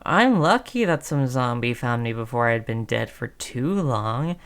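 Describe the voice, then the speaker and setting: Slow-talking, friendly, coaxing zombie, acted by a performer. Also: medium pitch, animated, smooth. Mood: chatty, reminiscing. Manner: casual, cajoling, slangy salesman-like patter. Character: friendly, wheedling, chatty undead zombie coaxing a survivor. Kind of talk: scripted audio roleplay monologue.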